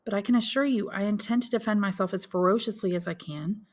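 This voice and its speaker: A woman's voice: mid-range voice, fast, pitched above the performer's own voice, close to an everyday voice. A woman voicing an earnest, resolute young man who firmly reassures his mother in an audiobook. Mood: reassuring. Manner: firm, reassuring, insistent. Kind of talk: audiobook character dialogue.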